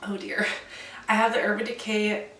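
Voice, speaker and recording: A woman's voice: medium pitch, level tone, moderate pace, sharp tone. A young woman with a stuffy, congested voice from a cold, chatting casually through a makeup update vlog. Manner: chatty, detailed product talk. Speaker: beauty vlogger. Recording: echoey room, distant mic, faint hiss.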